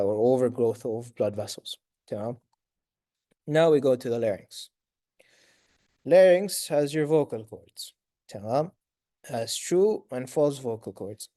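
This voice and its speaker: Male medical lecturer, raspy and dark-toned, dry close sound, brisk quizzing manner. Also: mid-range voice, no echo. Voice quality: raspy from long talking. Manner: quizzes students, praises answers briskly; sprinkles Arabic fillers like tamam, inshallah. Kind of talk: lecture to students.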